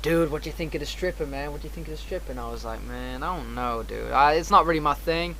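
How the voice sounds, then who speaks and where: A man casually telling a rambling, animated anecdote on a livestream, acting out the dialogue and swearing often. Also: fairly high pitch, fast talker. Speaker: streamer. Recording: clearly noisy background.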